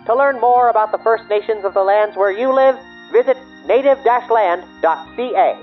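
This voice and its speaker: A man reading the show's outro credits and sign-off in a smooth, businesslike way. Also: high-pitched, moderate pace, faint music behind. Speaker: show announcer. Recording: muffled, dull sound.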